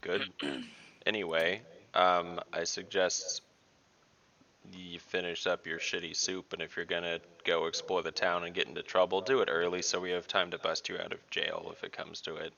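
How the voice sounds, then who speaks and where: Bright-voiced man with sardonic bite. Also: deep voice, level tone, moderate pace, dull audio. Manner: gruff, sardonic, swearing. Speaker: tabletop role-playing player. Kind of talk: casual in-character role-play over online chat. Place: indoor room on a group video call.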